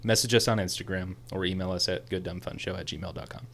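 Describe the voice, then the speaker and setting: A young man co-hosting a podcast in casual chat, an excitable storyteller who rambles, his voice a little hoarse. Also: low-pitched, quick pace. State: slightly hoarse after a concert. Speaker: podcast co-host.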